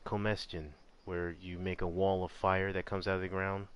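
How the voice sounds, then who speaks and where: A man giving casual, halting gameplay commentary as he explains things while playing. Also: low voice, level tone, fairly bright tone, smooth. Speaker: video game streamer.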